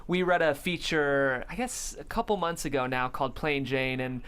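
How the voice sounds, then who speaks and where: A man's voice: medium pitch, normal speed, fairly bright tone, clean tone. A man hosting a conversational interview, thoughtful and complimentary, choosing his phrasing with care. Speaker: host of a script table-read show.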